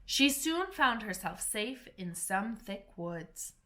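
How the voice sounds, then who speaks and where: A woman reading a children's storybook aloud in a slow, careful, expressive way. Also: mid-range voice. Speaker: storybook reader.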